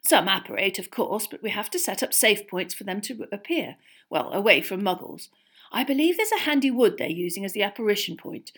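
Mild middle-aged wizard father, acted by a woman, earnest, patient, explanatory, slightly hesitant, animated storybook delivery. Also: mid-range voice, fairly quick. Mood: explaining. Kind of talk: acted audiobook dialogue.

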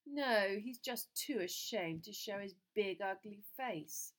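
A woman voicing a smug teenage schoolboy bully who talks in a soft, smirking drawl. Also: low-pitched, normal speed, slightly rough. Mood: sneering.

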